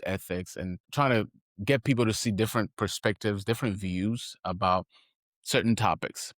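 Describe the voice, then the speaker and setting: A man hosting a solo podcast, speaking in an earnest, halting and reflective way. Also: fairly low pitch, a bit bright, no echo, studio quality. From Haiti. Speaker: podcast host.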